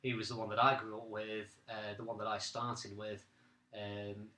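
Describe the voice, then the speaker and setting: A man's voice: lowish voice, little pitch movement, normal speed, bright, forceful tone. A man of about forty co-hosting a podcast, reminiscing in casual conversation. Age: late 30s to early 40s. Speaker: podcast co-host. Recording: roomy, echoing amateur recording.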